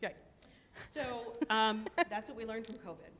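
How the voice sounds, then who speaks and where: A woman's voice: fairly high pitch, unhurried, fairly bright tone, slight background noise. A woman welcoming guests and thanking helpers before a worship service, chatty and upbeat with frequent laughs. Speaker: congregation leader welcoming guests. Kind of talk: casual spoken welcome and thanks. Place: synagogue sanctuary. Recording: long hall reverb.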